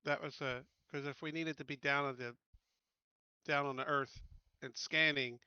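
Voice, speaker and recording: A man talking casually as a player in a tabletop game, asking questions in a careful, deliberate way. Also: on the high side, steady tone, pushed and bright, clean tone, no room sound, dull audio.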